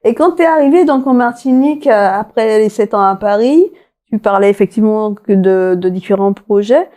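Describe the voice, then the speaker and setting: A woman's voice: medium pitch, normal speed, warm tone. A woman hosting a television interview, asking her guest questions in a conversational way. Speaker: interview show host.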